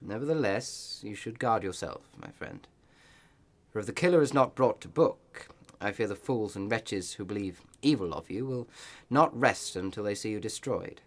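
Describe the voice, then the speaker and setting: An actor in a radio play playing a loyal village reverend, with a measured, eloquent and wry manner. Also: male voice, fairly low pitch, lively intonation. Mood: solemn warning. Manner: measured, eloquent, gently sly.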